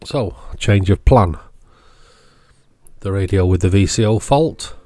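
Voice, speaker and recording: A man's voice: deep voice, takes it slowly, warm tone, no room sound, studio quality. A man hosting an electronics repair channel, giving casual, matter-of-fact narration of the job as he goes.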